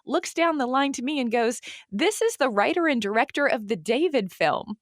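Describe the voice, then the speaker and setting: A woman's voice: sits high, expressive, normal speed, dry recording, clean studio sound. A woman hosting a podcast, chatty and eager as she interviews her guest. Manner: eager and chatty, asking questions. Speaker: podcast host. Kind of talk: casual interview.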